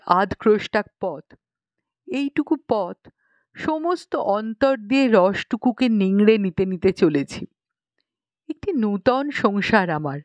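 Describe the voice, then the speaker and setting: A woman's voice: mid-range voice, a little mellow, dry recording, muffled recording. A woman reading a literary story as an audiobook narrator, in a scripted narration style.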